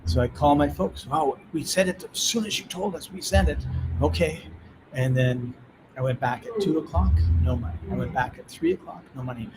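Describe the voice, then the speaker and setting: A man with a Canadian accent, a podcast host, telling a travel anecdote in lively conversation and acting out the people he quotes. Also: a little high, moderate pace, background noise. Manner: animated anecdotal telling, acts out quoted voices. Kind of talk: casual storytelling in conversation. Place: on a call from abroad. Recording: clear music playing behind.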